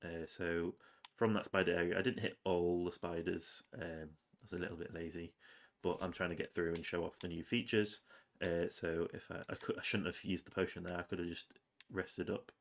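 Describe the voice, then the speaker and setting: Low-voiced man with a cold. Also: normal speed. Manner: relaxed, rambling with many ums and uhs. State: has a cold, sniffly. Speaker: game developer. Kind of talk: casual devlog narration over gameplay.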